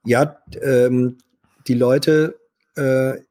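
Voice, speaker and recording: A man's voice: medium pitch, steady tone, very slow, mellow, no room sound. A man chatting casually as a guest, hesitant, with many fillers and restarts. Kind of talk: casual chat.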